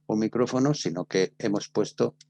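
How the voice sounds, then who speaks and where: Low-voiced Spanish man giving a lecture. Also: creaky, mellow, a little hiss or hum. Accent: Spanish from Spain. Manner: didactic, repeats phrases, pauses to stress key words. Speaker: university lecturer in radio drama. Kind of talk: informal lecture with examples.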